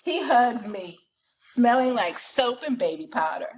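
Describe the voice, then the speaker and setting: A woman performing a self-conscious, wry sixteen-year-old girl narrating her life in a slangy, expressive dramatic reading of a novel. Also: on the high side, unhurried, dull audio. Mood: fond, frustrated. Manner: slangy, expressive, conversational storytelling.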